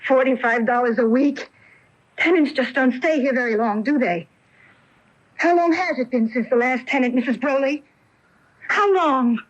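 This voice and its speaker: A woman's voice: sits high, normal speed, pauses often. An actress playing an inquisitive young female tenant in a radio mystery drama, speaking earnestly and dramatically. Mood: insistent. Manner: earnest, dramatic, hesitant then insistent. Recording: dull lo-fi 1980 radio broadcast tape.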